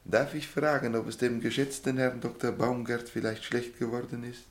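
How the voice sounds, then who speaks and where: A man's voice: mid-range voice, fairly even intonation, natural voice. Guy acting young indian trainee in a radio play, super polite n formal, kinda stilted. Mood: polite, measured. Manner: courteous, stilted, measured, formal. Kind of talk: old German radio mystery play acting.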